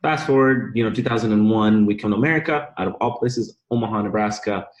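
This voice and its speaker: A man's voice: lowish voice, fast, lo-fi sound. A young man from Bosnia, a podcast guest telling his story in an upbeat, enthusiastic way. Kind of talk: casual interview chat.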